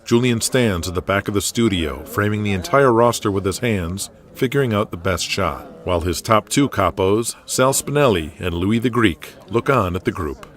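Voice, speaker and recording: Low-voiced man narrating an audio drama. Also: lively intonation, moderate pace, hardly any pauses.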